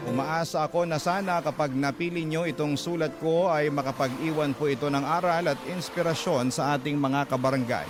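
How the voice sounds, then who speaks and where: Man, slightly high-pitched, radio host, dramatic scripted letter reading, music bed. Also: steady tone, fairly quick, few pauses.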